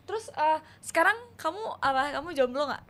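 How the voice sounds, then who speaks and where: A woman, hosting a casual podcast chat with a guest, curious and quick to laugh. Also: sits high, a bit bright. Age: 20s. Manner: chatty, curious, laughs often. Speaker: host.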